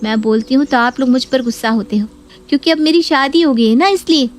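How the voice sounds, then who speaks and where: A woman's voice: high voice, brisk, voice pushed up, lightly acted. A woman voicing a scheming young married daughter who accuses and complains. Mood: indignant. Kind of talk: Hindi audio story acting.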